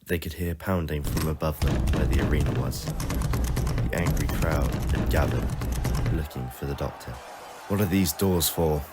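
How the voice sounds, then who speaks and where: A man's voice: a bass voice, fairly even intonation, moderate pace, heavy background noise. A man reading scripted narration for an adventure audio story.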